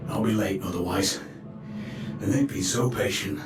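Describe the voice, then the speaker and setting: A man's voice: fairly low pitch, moderate pace, lightly acted. An actor playing an affectionate, ailing old man, rambling wistfully in a game. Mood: dreamy, delirious. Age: older. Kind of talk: cinematic video game acting. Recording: echoey, distant, loud music behind.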